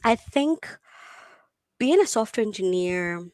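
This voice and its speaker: A woman whose first language is Swahili talks in a chatty, animated way and often laughs between thoughts. Her voice sits in a middle pitch for a woman. The sound is close and dry, with no room in it. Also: slow pace, dry recording. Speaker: guest tech founder. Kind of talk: conversational interview answers. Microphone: video call.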